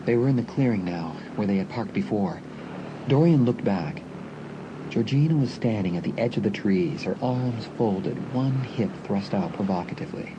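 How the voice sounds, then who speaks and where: A male narrator reads a scripted suspense story in a fairly low voice. The recording is somewhat dull and lo-fi, with clear noise in the background. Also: expressive, normal speed.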